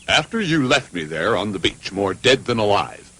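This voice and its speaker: A man voicing a loyal, excitable big dog in a dubbed cartoon, husky and strained, emphatic and heartfelt. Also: fairly low pitch, normal speed, heavily acted. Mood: cheerful, explaining.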